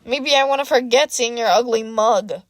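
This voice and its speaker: A woman's voice: fairly high pitch, normal speed, no echo, close to an everyday voice. A weary, wry man in an audiobook story voiced by a woman. He speaks with sarcastic reserve. The recording is close and dry, with hardly any room.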